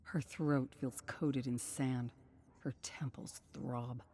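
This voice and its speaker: Slow, low-voiced woman narrating solemnly. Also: steady tone, dark tone, slight background noise. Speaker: narrator of game lore. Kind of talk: scripted dramatic story narration.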